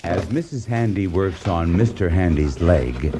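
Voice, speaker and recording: A man's voice: deep voice, expressive, measured pace, noisy background. A man playing a straight-faced announcer for a do-it-yourself program in a radio parody.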